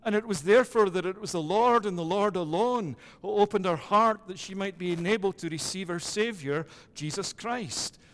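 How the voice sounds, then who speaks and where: A middle-aged Scottish pastor delivers a measured, deliberate sermon in a fairly high voice. His words ring out with a long reverb trailing off the walls of the sanctuary. He talks in steady, patterned phrases. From Scotland. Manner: measured preaching with repeated "it is that" phrasing.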